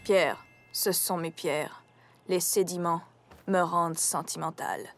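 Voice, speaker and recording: A woman voicing an impassive, literal-minded young female pony in a French cartoon dub, with a deadpan monotone delivery. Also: fairly low pitch, unhurried, soft background music. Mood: flat, impassive.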